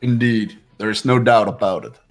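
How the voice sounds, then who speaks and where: Guy playing pompous calculating young man in a game, lowish voice, formal, bit of music behind. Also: normal speed, lightly acted. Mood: smug. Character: haughty, calculating young man, superior and controlled. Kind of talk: fan-voiced visual novel game acting.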